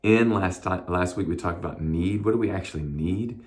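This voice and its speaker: A man with a hoarse voice giving a short, casual devotional message as a pastor, with many fillers and restarts. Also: deep voice, moderate pace.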